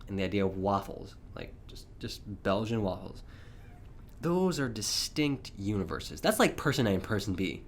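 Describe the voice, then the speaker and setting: A slightly hoarse young man hosting a casual solo podcast, rambling chattily with frequent chuckles. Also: mid-range voice, slight background noise. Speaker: podcast host.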